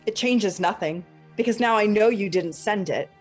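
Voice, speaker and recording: A woman's voice: mid-range voice, moderate pace, faint music behind, natural voice. A young woman playing a resentful, wounded college student in a dramatic reading, blunt and confrontational. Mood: guarded, wounded.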